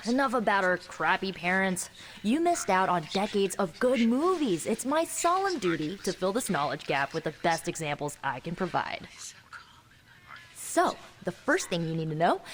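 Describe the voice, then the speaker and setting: A woman's voice: mid-range voice, slight background noise, natural voice. A woman playing a young sardonic sorceress in a video game, with an American accent and a casual, teasing, talkative manner. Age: young adult. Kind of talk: video game voice acting, conversational. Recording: background music under the dialogue.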